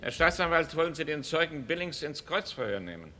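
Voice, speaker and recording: A stern, commanding judge in a vintage radio play. His voice is fairly high and forceful, and he speaks formally over a faintly noisy old recording. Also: sing-song, a little fast. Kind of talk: 1970s German radio play acting.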